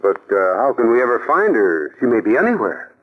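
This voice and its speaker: An actor playing an eager male assistant investigator who reacts quickly, in 1940s radio acting. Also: mid-range voice, huge pitch swings. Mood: eager, keen. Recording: tinny phone-like old radio recording.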